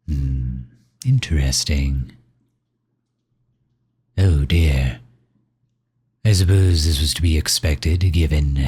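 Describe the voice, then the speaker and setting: Guy doing a suave villain boss, super deep voice, slow and pompous, bit breathy, asmr roleplay. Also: mellow, no echo, clean studio sound, exaggerated voice. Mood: musing, amused. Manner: pompous, leisurely, formal wording. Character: suave megalomaniac villain, pompous and impatient with his servant.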